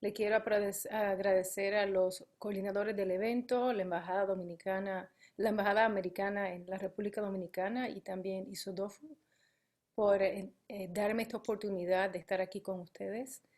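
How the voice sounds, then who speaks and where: Halting woman giving a conference talk. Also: medium pitch, steady tone, a little mellow. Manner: halting, frequent fillers and self-corrections. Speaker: college campus administrator presenting on a panel. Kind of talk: conference presentation. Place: conference panel, remote or on stage.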